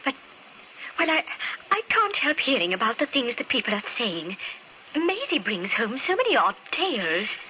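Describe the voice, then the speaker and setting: A genteel widow in an old radio play, her voice high and very lively in melody. She is sweetly anxious and coy, pleading in halting, theatrical phrases on a hissy vintage recording. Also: dull audio. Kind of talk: old-time radio drama acting.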